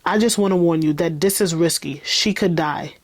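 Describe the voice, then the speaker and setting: A woman voicing a brisk, stressed young female medical student in a novel, urgent and blunt. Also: lowish voice, natural voice. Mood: grave, urgent.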